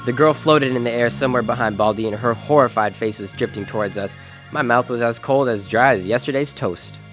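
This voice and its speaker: An actor playing a hard-boiled, wisecracking private detective in a noir radio drama, terse and wry. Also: male voice, mid-range voice, a little fast, fairly bright tone, faint music behind, lightly acted. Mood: grim narrating. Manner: wry, terse, tough-talking. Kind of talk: noir radio drama acting and first-person narration.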